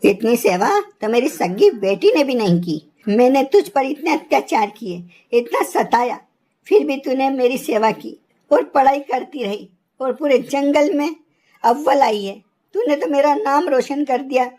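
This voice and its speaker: A woman as high-voiced, domineering mother-in-law bird. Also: unhurried, dark tone. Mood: remorseful. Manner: harsh scolding, melodramatic. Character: scolding, domineering mother-in-law bird, older, partial to her daughter. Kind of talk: animated moral story acting.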